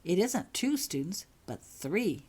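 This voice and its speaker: A woman's voice: on the low side, big pitch swings, slow, a little mellow, husky, full character voice. A woman voicing an earnest, concerned male wizard professor in a storybook reading, anxious and explanatory.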